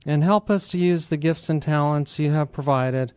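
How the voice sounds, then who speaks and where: A man's voice: a little high, moderate pace, darkish tone, smooth, no room sound, studio quality. An American man hosting a talk radio show, explaining things to listeners. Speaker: radio show host, attorney.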